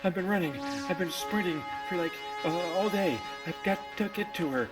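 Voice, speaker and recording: A man's voice: high voice, moderate pace, loud music behind the voice, close to an everyday voice. A man voicing a frantic, breathless little snail who stammers and repeats himself urgently. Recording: echoey hall with long reverb.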